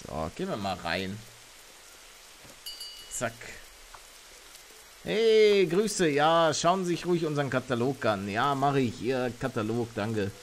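A young man streaming a game live, chatting with his viewers and reacting loudly, close to a studio mic at home. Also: mid-range voice, slow pace, long pauses, slight background noise. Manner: chatty, reads chat aloud, bursts into excited shouts. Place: home streaming room, indoors. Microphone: studio mic on arm near face.

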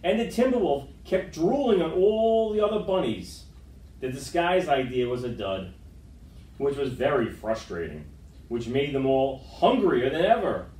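Animated man reading aloud, roomy and distant. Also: a little high, measured pace. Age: middle-aged. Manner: dramatic, animated storytelling. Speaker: storytime reader. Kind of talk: expressive read-aloud of a children's picture book. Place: school room with wood-panelled walls, indoors. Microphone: far camera microphone. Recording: roomy, distant camera microphone.

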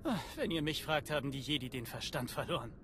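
A man's voice: on the high side, animated, fast talker, noisy background, close to an everyday voice. A man playing a skeptical field doctor in a German game dub, blunt and wry. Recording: sci-fi game ambience behind voice.

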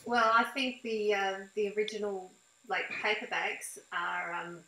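A woman's voice: mid-range voice, unhurried, pushed and bright, slight background noise. A middle-aged woman hosting an interview over a video call, moving between prepared introduction and conversational questions. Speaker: interviewer, host. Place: indoor room at home, video call. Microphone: device mic on a video call. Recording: echoey room on video call.

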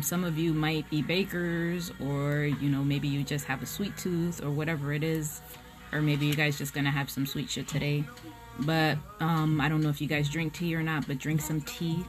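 A young woman giving a casual tarot reading straight to her phone camera at home, frank and blunt, swearing freely and filling gaps with "um" and "like". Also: deep voice. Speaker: tarot reader. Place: small indoor room at home. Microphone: phone camera at close range.

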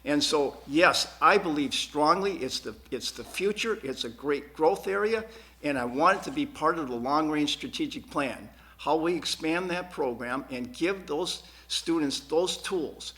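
A man answering questions as a school board candidate at a forum, earnest and emphatic, often repeating words haltingly. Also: a little high, expressive, pushed and bright. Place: TV studio.

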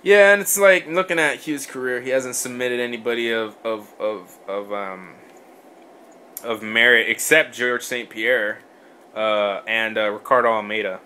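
Bright-voiced man in rambling podcast chat. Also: medium pitch, steady tone, a little slow, a little hiss or hum. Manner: rambling, many fillers and restarts, swears. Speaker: podcast co-host. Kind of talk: casual sports chat.

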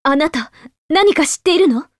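A woman's voice: sits high, expressive, normal speed, no echo, clean studio sound. A woman playing a serious, determined young noblewoman fighter in an anime game, speaking with polite, commanding composure. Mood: pensive.

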